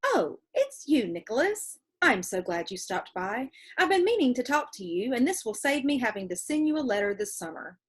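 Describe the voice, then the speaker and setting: Storybook schoolmistress played by a woman reader: older, formal, kindly but commanding, high-pitched, emphatic delivery. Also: very animated, moderate pace, few pauses, husky. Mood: bright, welcoming.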